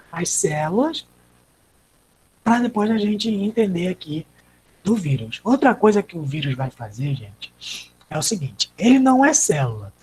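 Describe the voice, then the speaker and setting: A woman's voice: medium pitch, a little mellow, breathy edge. A woman with a Brazilian Portuguese accent teaching an online class, explaining step by step and answering her own questions.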